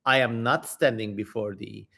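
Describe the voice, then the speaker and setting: A man's voice: on the low side, fairly even intonation, moderate pace, gravelly, bright, forceful tone, exaggerated voice. A man voicing a fierce, defiant female demon spirit in a theatrical, haughty reading of an ancient text.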